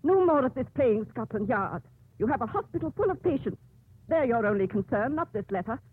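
An actress playing a stern, authoritative older nun who runs a convent, with commanding, emphatic delivery in a 1950s radio drama. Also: female voice, fairly high pitch, very animated, a little fast, slightly rough, no room sound. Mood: stern, reproving. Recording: muffled narrowband old radio recording.

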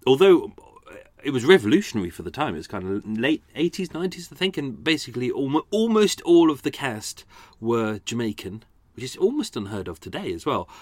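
A man's voice: lowish voice, dry recording. A man hosting a podcast, chatting casually and laughing at himself. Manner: self-deprecating, chatty, laughing often. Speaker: podcast host. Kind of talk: casual chat.

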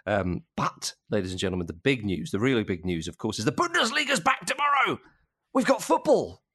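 A man hosting a casual football podcast in a jovial, chuckling way, often halting as he gets his thought out. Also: mid-range voice, sing-song, sharp tone, slightly rough. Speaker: podcast host.

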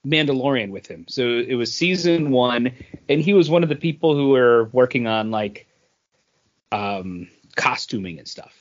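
A man with an average-pitched voice co-hosts a casual podcast. He retells stories as dialogue, quoting each side. The sound is a bit dull and lo-fi. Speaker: podcast co-host. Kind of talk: casual chat and anecdotes.

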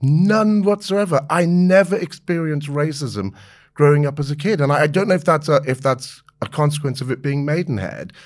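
A man's voice: on the high side, moderate pace. A man around sixty, born in South Africa, talking openly as an interview guest, laughing easily and restarting his sentences. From born in South Africa, raised in the United Kingdom. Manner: friendly and open, laughs easily, with frequent fillers and restarts. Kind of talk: candid conversational interview.

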